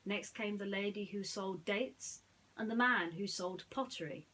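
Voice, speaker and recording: A woman speaking in an earnest, slightly halting way, as a storyteller chatting and reading to children. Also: mid-range voice, fairly bright tone, low-quality recording. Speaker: storyteller reading bedtime stories.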